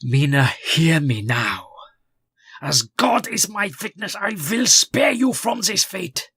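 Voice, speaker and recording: A man's voice: high voice, expressive, slow, bright, forceful tone, husky, dry recording, clean studio sound, exaggerated voice. A man playing a stern, fatherly vampire-hunting mentor in an audio drama, earnest, instructive and commanding. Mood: grave resolve.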